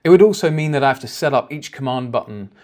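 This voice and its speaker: A man working as a software trainer, explaining each step informally in a conversational screen-share tutorial. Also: mid-range voice, expressive, quick pace.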